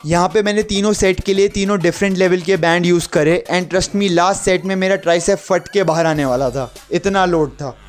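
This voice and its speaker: A man's voice: high-pitched, steady tone, normal speed, hardly any pauses, soft background music. A young man giving an explanatory workout voice-over as an instructor, dropping English gym terms into his sentences. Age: young adult.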